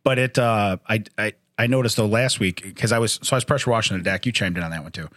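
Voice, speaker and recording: A man's voice: fairly low pitch, fast, a bit bright, no echo, studio quality. A man co-hosting a podcast, chatting casually, rambling, joking at his own expense and laughing often. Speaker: podcast co-host.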